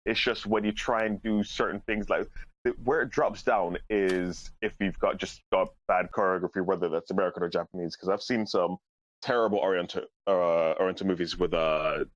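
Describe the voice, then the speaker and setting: A man's voice: sits low, fairly even intonation, normal speed, no echo. A man discussing his opinions casually as a podcast panelist, on lo-fi voice chat audio.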